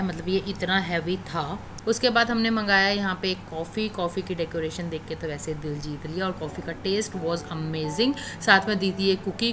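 A woman's voice: medium pitch, background noise. A woman narrating a food review as a voice-over in a conversational, enthusiastic way, talking to viewers as "guys".

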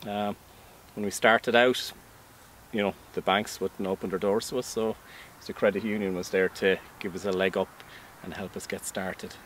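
A man's voice: lowish voice, flat delivery, normal speed, a bit bright, a little hiss or hum. A man in his fifties from Ireland, an organic farmer speaking plainly and matter-of-factly in an outdoor interview. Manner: plain, matter-of-fact, listing details. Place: outdoors in a vegetable field. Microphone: camera mic, standing interview.

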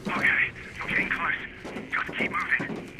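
A man's voice: normal speed, heavy background noise. An actor playing a watchful male inmate in a video game, guiding his partner over radio in a terse, reassuring way. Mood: guarded, guiding. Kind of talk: video game cutscene acting.

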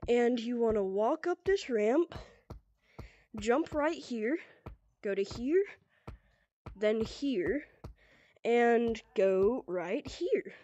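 Slow, stuffy-nosed young gamer girl. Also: fairly high pitch, expressive, mellow, no echo, lo-fi sound. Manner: loose and rambling, heavy on "pretty much" and "like". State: stuffy from a cold. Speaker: VR gaming tutorial creator. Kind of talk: casual game tutorial narration.

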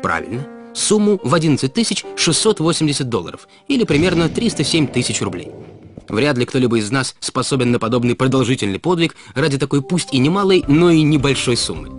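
Expressive man narrating over music. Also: medium pitch, brisk. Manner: didactic, rhetorical, addressing the listener. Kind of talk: scripted explainer narration. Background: background music.

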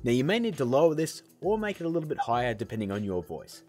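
A man hosting a software tutorial, explaining steps in a casual, upbeat way. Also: medium pitch, sing-song, quick pace, faint music behind. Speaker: video tutorial host.

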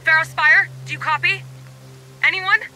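A woman's voice: very high-pitched, fairly even intonation, normal speed, sharp tone, a little hiss or hum, heavily acted, poor audio. An actress playing a desperate female administrator sending an urgent, pleading distress call in a video game.

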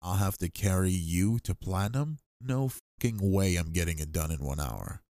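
A man's voice: deep voice, lively intonation, normal speed, dark tone, no room sound, studio quality. A young man playing an affectionate, cocky gamer boyfriend in an intimate ASMR roleplay, with slangy, teasing talk. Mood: cocky, competitive.

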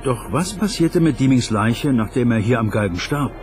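A man delivers a scripted documentary voice-over in the first person as an investigator. His pitch is average, and music is clearly heard behind him. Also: expressive, moderate pace.

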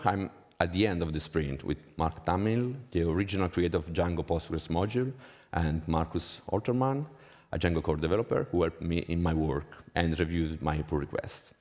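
A man in his thirties with an Italian accent gives a prepared conference talk in non-native English, his delivery measured. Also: sits low, darkish tone. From Italy. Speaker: conference speaker. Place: conference hall stage, indoors. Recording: reverberant conference hall.

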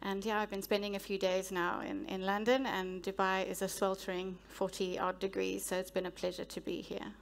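South African woman, 30s, procurement exec, even-toned and steady, headset mic on stage. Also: mid-range voice, creaky. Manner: measured, businesslike, with frequent ums. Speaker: guest speaker, head of procurement. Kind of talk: on-stage interview. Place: stage of a large conference hall.

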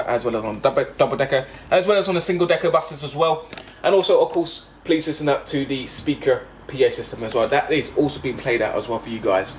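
A man, vlogging casually as a bus driver, halting and repeating himself with frequent check-in tags, recorded on a handheld camera inside a bus. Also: a little high. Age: 20s. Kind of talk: casual explanatory vlog addressed to passengers. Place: inside a parked double-decker bus. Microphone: handheld camera at arm's length. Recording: background vehicle noise inside a bus.

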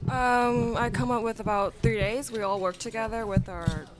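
A woman's voice: fairly high pitch, steady tone, normal speed. A young adult woman, a student presenter speaking live at a radio theatre show. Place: indoor classroom stage before small audience. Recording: noisy live room recording.

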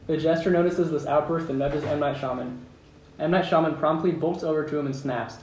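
A man's voice: a little high, steady tone, brisk, a little mellow. A man reading stage directions as the narrator at a script table read. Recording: echoey room, distant mic, faint noise.

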